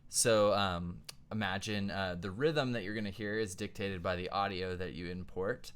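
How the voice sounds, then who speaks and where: Low-voiced man with a smooth, clear tone. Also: normal speed. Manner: relaxed, frequent ums and uhs, thinking aloud. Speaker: music production tutor. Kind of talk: casual screen-share tutorial.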